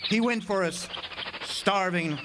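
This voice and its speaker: A man's voice: high voice, slow pace. A man playing a haunted lighthouse keeper, narrating a horror radio drama in an intense, theatrical way. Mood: frantic. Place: outdoor amphitheater stage. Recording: noisy live outdoor stage recording.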